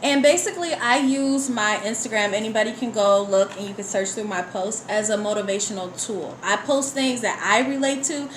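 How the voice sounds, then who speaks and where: A woman's voice: mid-range voice, moderate pace, few pauses. A woman chatting casually as an interview guest, earnest and motivational in her manner. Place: indoor room at a live online broadcast. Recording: roomy live sound, faint noise.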